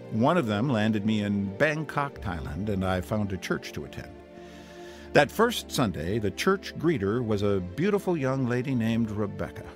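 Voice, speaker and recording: A man's voice: medium pitch, big-room sound. A man narrating his testimony in an earnest, preaching manner, quoting scripture.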